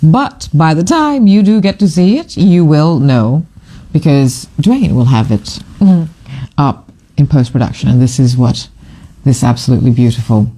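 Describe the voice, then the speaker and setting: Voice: medium pitch, expressive, moderate pace, warm tone. A middle-aged photographer from Fiji talks casually to camera while presenting a book. The talk rambles and digresses, with many fillers and restarts, and it gushes with enthusiasm. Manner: gushing, enthusiastic. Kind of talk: casual live commentary to camera.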